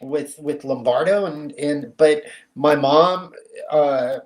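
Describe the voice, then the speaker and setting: A middle-aged man born in Cuba, a guest telling family stories in a relaxed, easy way and laughing often. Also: on the high side, slow pace. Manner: relaxed storyteller, laughs easily. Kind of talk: casual interview chat.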